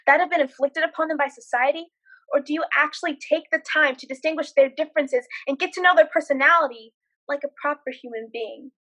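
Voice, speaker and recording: A woman's voice: high voice, a bit bright, low-quality recording. A young woman playing an outspoken young paralegal in a student stage drama, with a sarcastic, firm way of talking. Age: young adult. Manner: sarcastic, firmly lecturing.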